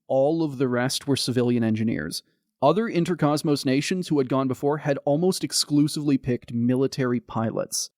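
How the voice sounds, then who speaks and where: A man's voice: mid-range voice, darkish tone, no room sound, studio quality. A man co-hosting a casual history podcast, telling the story in a laid-back way with lots of fillers. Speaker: podcast co-host.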